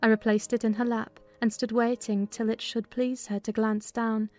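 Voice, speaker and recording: A woman playing an observant, composed housekeeper who narrates a literary story in a measured, descriptive way. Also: a little high, flat delivery, darkish tone, soft background music, natural voice. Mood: composed, recounting. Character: female housekeeper recounting events, composed and observant servant. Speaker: narrator in a dramatized novel reading.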